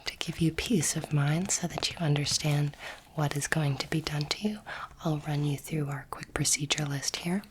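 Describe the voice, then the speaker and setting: Woman doing an alien doctor asmr, soft breathy whisper, low, formal and calm, noisy recording w little clicks. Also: natural voice. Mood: calm, clinical. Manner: measured, formal, clinical and soothing. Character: calm clinical alien space doctor examining a human specimen. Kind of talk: ASMR roleplay.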